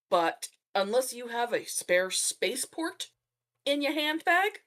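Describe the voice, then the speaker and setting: A woman's voice: mid-range voice, a bit bright. A woman playing a tabletop roleplaying game, improvising dialogue in character.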